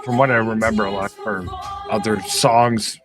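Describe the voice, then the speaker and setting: A man's voice: fairly low pitch, steady tone, normal speed, music in the background. A man co-hosting a podcast, chatting casually. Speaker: podcast co-host.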